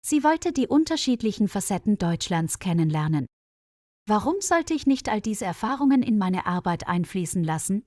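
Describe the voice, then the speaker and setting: A woman with a mid-range voice narrates a scripted story for language learners. Her delivery is measured, with each sentence clearly phrased. The recording is dry, with hardly any room around the voice. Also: medium pitch, darkish tone, dry recording. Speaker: narrator of German-learning stories.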